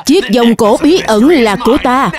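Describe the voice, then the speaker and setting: A woman's voice: a little high, lively intonation, normal speed, shouting. A woman reading scripted cartoon dialogue and narration as a dub voice artist. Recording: music under the voice.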